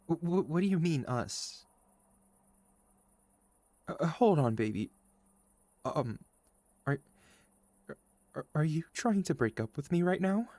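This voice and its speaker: Guy acting sweet caring boyfriend asmr, soft n gentle, bit teasing, really dry close sound. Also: fairly high pitch, no echo. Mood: alarmed, flustered. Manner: soft, sincere, tender, halting when worried. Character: gentle, caring, teasing young boyfriend soothing an anxious partner. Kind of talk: intimate ASMR roleplay acting.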